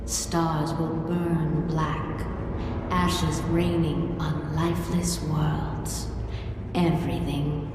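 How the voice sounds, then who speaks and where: A woman's voice: low voice, level tone, very slow. A woman playing an ancient dead Jedi spirit in a video game, speaking solemn, portentous prophecy. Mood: ominous, solemn. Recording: echoing long reverb with noisy ambience.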